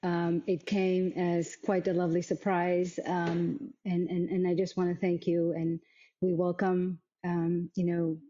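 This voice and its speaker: A middle-aged woman from Africa, telling her story as an interview guest in a thoughtful, halting way with many repeated words. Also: lowish voice, completely flat delivery, mellow, a little hiss or hum. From South Africa. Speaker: guest, arts nonprofit vice president. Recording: dull, muffled lo-fi sound.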